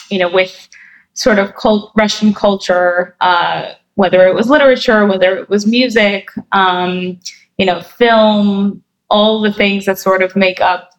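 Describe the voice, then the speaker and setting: A woman guest in her thirties, from New Jersey, talks through her answers in a relaxed interview. Her pitch sits mid-range for a woman, and she thinks aloud with frequent fillers and self-corrections. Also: low-quality recording. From New Jersey, USA. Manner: thoughtful, many fillers and restarts.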